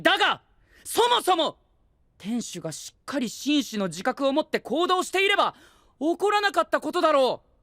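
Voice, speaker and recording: A man playing a prim, rigid young gentleman knight in an anime-style voice drama, formal and pompous, sprinkling in English exclamations. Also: high voice, no echo, clean studio sound.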